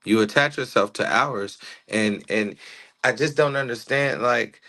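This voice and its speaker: A man's voice: mid-range voice, unhurried, sharp tone. A man co-hosting a political livestream, making his case in an emphatic, argumentative, slangy way. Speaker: livestream co-host. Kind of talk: casual political debate chat.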